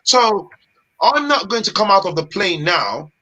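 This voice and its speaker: A man from Nigeria, a guest in a casual chat, talking in an animated, emphatic way with street slang. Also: high-pitched, unhurried, a little hiss or hum.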